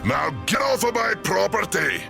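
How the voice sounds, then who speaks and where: Gruff old giant hermit, swooping delivery, sardonic, video game character, music behind. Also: male voice, high voice, very animated, normal speed, heavily acted. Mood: gruff warning. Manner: folksy, colloquial, sardonic growling. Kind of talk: video game cutscene acting.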